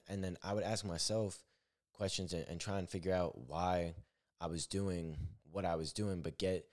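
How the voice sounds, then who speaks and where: Brief: young male podcast host, extremely low deep voice, candid rambling solo monologue. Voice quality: naturally deep voice. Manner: rambling, self-correcting, candid.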